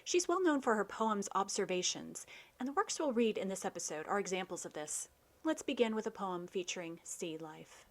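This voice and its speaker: A woman hosting a poetry program, giving scripted narration and reading verse in a measured, deliberate way. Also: medium pitch, animated. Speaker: host of a poetry radio program.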